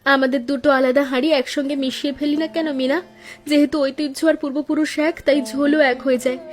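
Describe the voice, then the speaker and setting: A woman's voice: high voice, flat delivery, fast talker, faint music behind, natural voice. A woman playing a gracious young daughter-in-law, the elder sister in a cartoon story, earnest and polite. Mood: warm, decisive.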